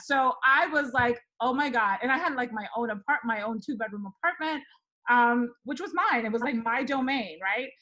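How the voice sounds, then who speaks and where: Woman host, slightly high voice, candid, filler-heavy casual talk. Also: a bit bright, low-quality recording. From Dominican Republic. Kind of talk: casual conversational chat.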